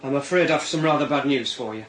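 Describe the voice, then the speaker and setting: A hesitant policeman in a radio play played by a male actor. His voice is mid-pitched and formal, gentle and apologetic, in an echoey room. He picks his words with halting care. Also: background noise, lightly acted. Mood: grave, careful. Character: hesitant, apologetic male police officer breaking bad news. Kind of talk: naturalistic British radio drama acting.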